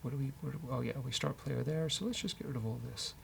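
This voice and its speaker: A man's voice: mid-range voice, fast, gravelly. A man hosting a casual coding session, thinking aloud and muttering hesitantly as he works. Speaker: developer and show host. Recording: faint background noise.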